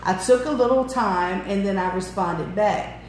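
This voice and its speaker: A woman's voice: mid-range voice, a little slow, a little mellow. A woman in her forties chatting casually, candid and emphatic, repeating points. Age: 40s. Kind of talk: casual chat. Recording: very echoey room with faint noise.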